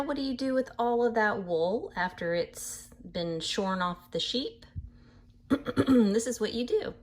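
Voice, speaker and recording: A woman reading a picture book aloud to children in an animated way, pausing to explain things patiently in simple words. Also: mid-range voice. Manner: animated, acts out animal sounds, addresses young listeners. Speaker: read-aloud storyteller for children.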